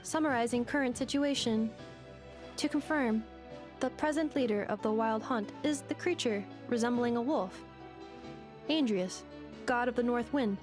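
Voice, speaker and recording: A composed female adviser played by a woman with a fairly high voice. She speaks formally and exactly in game-dialogue style, with music behind her. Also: moderate pace, natural voice. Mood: composed, matter-of-fact. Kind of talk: video game voice acting.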